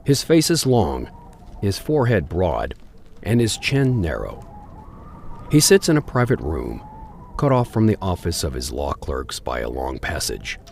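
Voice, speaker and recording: A man narrating a Victorian tale in a deliberate, theatrical storytelling style, broken into short dramatic phrases. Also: sits low, sing-song, gravelly, a little mellow, slight background noise.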